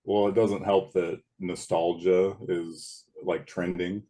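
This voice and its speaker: A man with a very deep, big booming voice chats as a podcast guest. He is in his late thirties and talks in a loose, laid-back way, stopping and restarting as he remembers. He sounds relaxed and unhurried. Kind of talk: casual chat.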